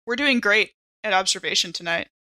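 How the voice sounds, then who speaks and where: A woman's voice: medium pitch, a little fast, bright, forceful tone, dry recording, poor audio. A woman playing a tabletop RPG, chatting casually and improvising her character's moves.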